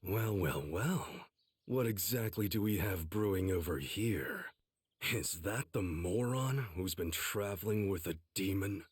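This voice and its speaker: A man playing a hot-tempered, mocking young swordsman in an anime game, with sneering, drawn-out taunts. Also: sits low, expressive, slightly rough, no echo, studio quality, full character voice. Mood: mocking menace. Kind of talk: English anime game voice acting.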